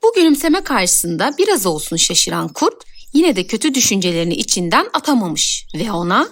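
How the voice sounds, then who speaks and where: Animated woman telling a fairy tale. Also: medium pitch, fairly quick. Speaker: storyteller. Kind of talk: scripted children's fairy tale narration.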